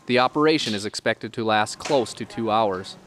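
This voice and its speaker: A man's voice: on the low side, normal speed, fairly bright tone, soft background music. Man narrating a local TV feature. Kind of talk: scripted narration.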